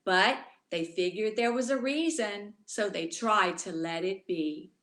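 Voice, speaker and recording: Slow-paced woman reading a bedtime story. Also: medium pitch, reverberant. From Louisiana. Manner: expressive, addresses young listeners directly. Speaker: children's author reading aloud. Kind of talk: bedtime story read aloud to children.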